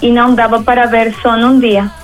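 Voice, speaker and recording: A woman singer from Venezuela answers interview questions conversationally. Her voice is fairly high with little rise and fall, and her manner is grateful and enthusiastic. Faint music plays behind her. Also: little pitch movement, normal speed.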